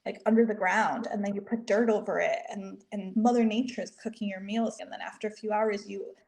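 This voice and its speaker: A woman's voice: mid-range voice, steady tone, brisk, creaky, darkish tone. Chatty woman, native Spanish speaker. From Bolivia. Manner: chatty, explains with little quoted asides. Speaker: podcast guest, dietitian. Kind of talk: casual conversational interview.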